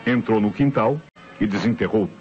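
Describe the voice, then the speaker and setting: A Brazilian man playing a commanding, sharp-witted police inspector in an old radio mystery, speaking with emphatic authority. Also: on the low side, normal speed, close to an everyday voice. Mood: authoritative. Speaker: radio actor. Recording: dull old radio recording with music.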